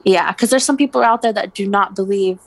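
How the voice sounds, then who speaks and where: A woman telling personal stories in a relaxed, casual way. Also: mid-range voice, fairly quick, gravelly, dry recording. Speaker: guest. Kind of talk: casual storytelling.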